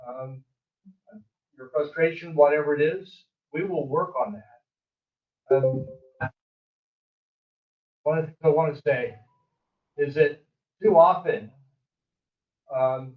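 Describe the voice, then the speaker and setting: A man who heads a county planning department, speaking earnestly and rambling a little at a public meeting. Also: fairly high pitch. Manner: earnest, rambling, with many fillers. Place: community meeting room. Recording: dull roomy sound from far off.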